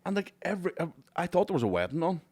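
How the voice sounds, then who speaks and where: A Northern Irish man, a comedian and podcast co-host, trading rapid, sweary banter in casual chat. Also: sits high, big pitch swings, darkish tone, husky, dry recording.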